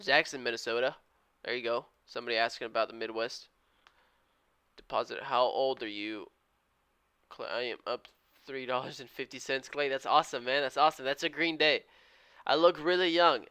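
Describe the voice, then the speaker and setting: A man's voice: mid-range voice, fairly even intonation, moderate pace, bright, forceful tone, clear, resonant tone, no echo. A man in his twenties chatting casually on a live trading stream, reading out viewer comments and thanking people in an upbeat, slangy way. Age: 20s. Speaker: live-stream day trader.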